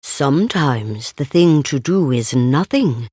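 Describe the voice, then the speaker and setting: A woman voicing a gentle, musing, simple-hearted male toy bear in a children's storybook, speaking plainly. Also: very deep voice, lively intonation, slow pace, breathy edge, dry recording, clean studio sound, voice pushed down, heavily acted. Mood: calm, advising. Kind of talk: children's storybook read-aloud.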